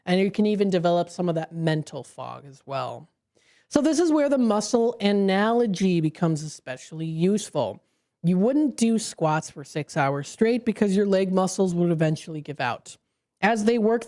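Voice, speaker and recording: A woman's voice: on the low side, warm tone. A woman radio host explaining brain science in a studio, talking at length with frequent fillers and self-corrections.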